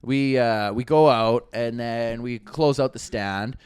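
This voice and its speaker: A Canadian man co-hosts a podcast and tells stories in a loose, rambling way, swearing freely. His voice is smooth and resonant at a middle pitch. It is recorded dry and close, with no room sound. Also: takes it slowly, no echo. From Canada, Alberta. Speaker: podcast co-host. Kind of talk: casual storytelling chat.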